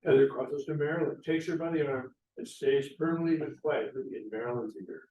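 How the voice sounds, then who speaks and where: A man's voice: on the high side, a little fast, dark tone, lo-fi sound, slight background noise. A man reading stage directions aloud as scripted narration. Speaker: stage directions reader at a play table read. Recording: echoey room, distant mic.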